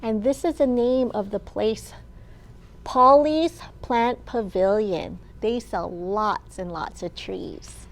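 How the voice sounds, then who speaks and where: A woman librarian hosting a children's storytime, reading aloud in simple, deliberate phrases meant for young children. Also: medium pitch, vocal fry.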